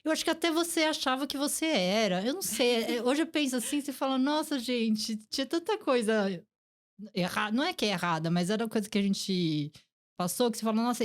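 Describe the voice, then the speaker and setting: A woman in her forties from Brazil, hosting a casual interview podcast and reflecting in a chatty way. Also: medium pitch. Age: 40s. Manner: chatty, reflective, many fillers like "gente" and "né". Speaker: podcast host.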